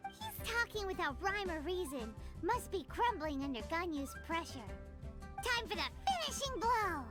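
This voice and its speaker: Tiny chirpy fairy girl, very high, lively, bubbly, game music behind. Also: normal speed. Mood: perky. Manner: bubbly, exclamatory. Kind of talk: video game voice acting.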